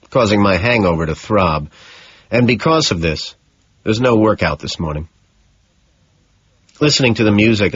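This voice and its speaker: A man's voice: lowish voice, a bit bright, husky. A man narrating a novel in the first person as a scripted audiobook. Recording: dull, echoey room sound.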